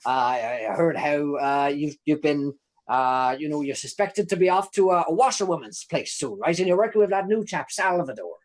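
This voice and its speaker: A man's voice: on the high side, lively intonation, normal speed, lightly acted. A man voicing a chatty, cheeky imprisoned informant who rambles and stammers in old-timey folksy phrasing. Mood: rambling.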